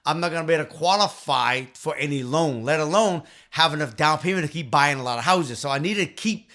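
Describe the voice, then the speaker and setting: Man, 50s, earnest vlog host speaking to camera into a studio mic; bright, slightly raised voice. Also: on the high side, fairly quick. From Vietnam. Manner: earnest, emphatic, talks with his hands. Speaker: real estate investor and channel host. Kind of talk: direct-to-camera storytelling. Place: small home office. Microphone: studio mic on a boom arm.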